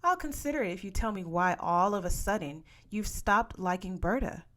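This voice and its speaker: A woman's voice: medium pitch, lively intonation, normal speed, fairly bright tone, lightly acted. Woman voicing a firm, no-nonsense mother. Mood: incredulous. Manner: commanding, coaxing. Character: firm, no-nonsense Mexican American mother, teasing.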